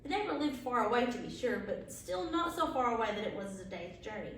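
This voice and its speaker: Lady storyteller reading a bedtime tale, really animated, far from the mic w lots of echo. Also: fairly high pitch, a little fast. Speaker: library storyteller. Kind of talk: bedtime story read aloud. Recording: very echoey, distant, faint background noise.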